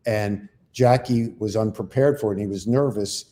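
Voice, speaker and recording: A man's voice: fairly low pitch, moderate pace, dark tone. An older man, a comedian co-hosting, telling rambling, self-deprecating stories in casual chat. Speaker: comedian co-host.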